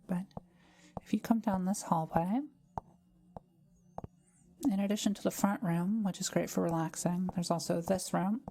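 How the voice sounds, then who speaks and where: Woman playing a gentle witch, low mellow creaky voice. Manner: formal, old-fashioned wording, gentle and considerate. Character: kind, gentle forest witch, soft-spoken and hospitable. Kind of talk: intimate audio roleplay, addressing the listener.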